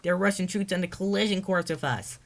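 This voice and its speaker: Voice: mid-range voice, fast talker, vocal fry, pitched above the performer's own voice, natural voice. A person voicing a fearful, stern mother in a storybook reading, urgent and exclaiming. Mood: alarmed, fearful. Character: Maria, fearful, stern mother who snaps in anger.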